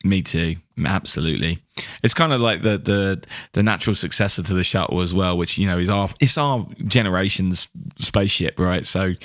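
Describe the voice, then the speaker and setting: A British man co-hosting a podcast, talking casually in an upbeat, animated manner. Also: low voice, no echo, clean studio sound. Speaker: podcast co-host. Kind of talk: casual space news podcast chat.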